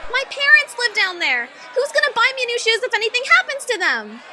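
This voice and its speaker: A woman voicing an earnest, insistent young girl in an animated-style comic dub. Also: extremely high pitch, fairly quick, a little hiss or hum, full character voice. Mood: anxious, insistent.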